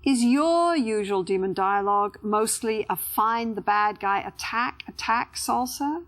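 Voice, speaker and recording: A woman's voice: on the high side, measured pace, a bit bright. A woman reading scripted educational narration as a narrator.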